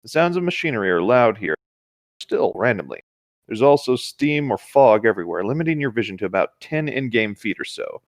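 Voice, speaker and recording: A man's voice: fairly low pitch, sing-song, brisk, no room sound. A man reading a story aloud as co-host in a casual, conversational way, with dry asides.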